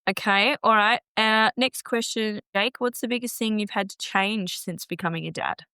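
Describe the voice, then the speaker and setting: A woman in her thirties hosting a casual podcast chat, chatty and quick to laugh. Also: medium pitch, sharp tone, smooth, no room sound. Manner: chatty, laughing easily, lots of fillers. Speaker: podcast host. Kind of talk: casual conversation.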